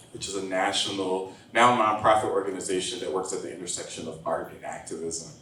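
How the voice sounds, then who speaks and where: Deep-voiced, articulate man on a panel. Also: fairly bright tone. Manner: measured, articulate, earnest. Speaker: creative producer, organizer. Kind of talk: panel discussion. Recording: echoey hall, distant mic, faint hiss.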